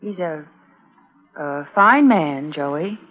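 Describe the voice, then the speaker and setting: A frontier wife and mother in a radio western, tender and troubled. Her pitch moves widely and with earnest feeling. The recording is thin and phone-like, from an old broadcast. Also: animated, very slow, exaggerated voice. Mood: tender, uneasy. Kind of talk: 1950s radio drama acting.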